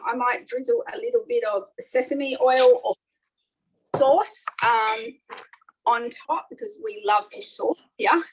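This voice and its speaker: A woman's voice: on the high side, a bit bright, low-quality recording. A middle-aged woman, chatting her way through a live online cooking demo with frequent ums. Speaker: cooking class host.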